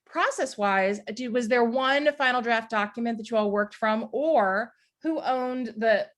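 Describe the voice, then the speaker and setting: A woman asking questions as a moderator in a casual, conversational and encouraging way. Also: a little high. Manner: encouraging, affirming.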